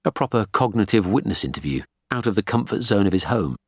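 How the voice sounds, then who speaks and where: A man's voice: fairly low pitch, expressive, fast, husky, dry recording, clean studio sound. A man playing a male detective superintendent in an audiobook, firm, probing and authoritative. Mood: businesslike.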